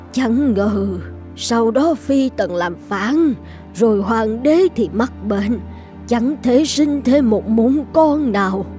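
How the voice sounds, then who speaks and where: Slow, solemn old empress dowager. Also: female voice, on the high side, mellow. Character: grave, anxious old empress dowager, regretful. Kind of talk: animated folk-tale cartoon acting.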